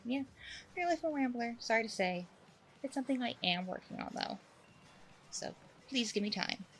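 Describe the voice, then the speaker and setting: Female coach role played by a woman for ASMR roleplay: gravelly fry, rambling, self-assured, reassuring, faint noise behind. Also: mid-range voice, natural voice, rough recording. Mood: apologetic, chatty. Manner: rambling, hesitant asides, reassuring. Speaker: dating coach character in audio roleplay. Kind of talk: ASMR roleplay, one-sided conversation with the listener.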